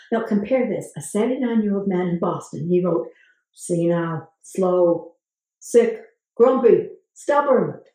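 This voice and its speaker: A woman hosting a channel, talking to camera about research, with a gravelly voice today and a measured way of asking viewers questions. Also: medium pitch, mellow. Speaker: host. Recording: very echoey room.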